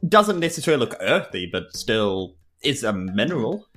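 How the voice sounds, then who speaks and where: A man's voice: mid-range voice, sing-song, moderate pace, fairly bright tone. A man chatting casually as a player on a tabletop game podcast. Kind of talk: casual chat.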